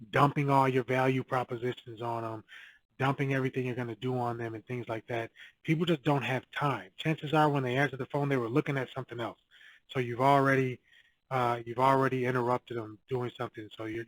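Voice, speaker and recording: A man's voice: medium pitch, no room sound, rough recording. A man records a casual advice video, speaking in a mid-range voice. He coaches in a direct, no-nonsense way and comes back to the same points again and again. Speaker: real estate agent giving cold calling advice.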